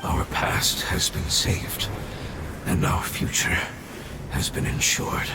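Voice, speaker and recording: An actor playing a dying ancient creature, speaking in a grave, measured and earnest way. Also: male voice, background noise, lightly acted. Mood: weary solemn. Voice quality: very deep gravelly voice. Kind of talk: video game cutscene dialogue. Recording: echoey with music behind.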